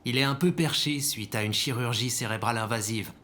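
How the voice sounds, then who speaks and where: A man's voice: medium pitch, fairly quick, pushed and bright, natural voice. An actor playing a hapless, immature man in an audio comedy-drama, naive, defensive and hesitant. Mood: nervous, upbeat.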